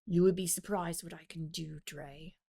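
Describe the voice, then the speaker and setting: A woman voicing a cunning, predatory male guild champion in an audiobook, slow and smoothly menacing. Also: fairly low pitch, steady tone, warm tone. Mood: confident courting.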